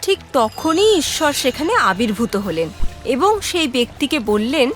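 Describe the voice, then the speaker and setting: A woman tells a children's story in a high, lively voice. She takes it slowly and expressively, addressing young listeners, with music playing behind her. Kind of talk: scripted children's story narration.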